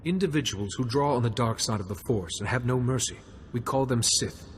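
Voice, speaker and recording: An actor playing a calm, diplomatic male Jedi sage in a video game, speaking in a measured, formal way. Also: mid-range voice, big-room sound, a little hiss or hum, natural voice. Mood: serious.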